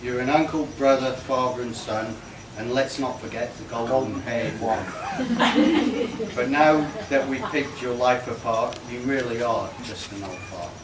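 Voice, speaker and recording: A man reading a comic rhyming poem aloud in a measured way, stumbling now and then. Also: medium pitch. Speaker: party guest reading a tribute poem. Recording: echoey, noisy room, distant microphone.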